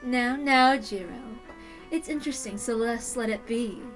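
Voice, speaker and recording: A woman voicing a coolly amused lady from an anime visual novel, coaxing and teasing softly. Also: on the high side, unhurried, pitched below the performer's own voice, lightly acted. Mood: coy. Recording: music behind the voice.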